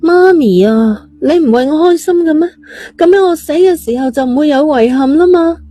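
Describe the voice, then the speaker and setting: A woman's voice: sits high, moderate pace, dark tone, pitched above the performer's own voice, close to an everyday voice. A woman voicing a defiant, desperate eighteen-year-old girl in an urgent, pleading, emotional way for an audio drama. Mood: provocative, sweet.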